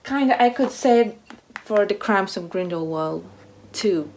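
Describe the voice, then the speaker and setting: A woman book vlogger chatting casually to camera in short, halting phrases that trail off softly. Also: mid-range voice, lively intonation, a little hiss or hum. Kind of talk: casual chat to camera, answering a book tag.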